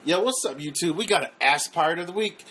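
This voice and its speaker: A man's voice: fairly high pitch, fast talker, a bit bright, slightly rough. A man ranting casually in a played video clip, rambling, profane and full of restarts. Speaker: YouTuber.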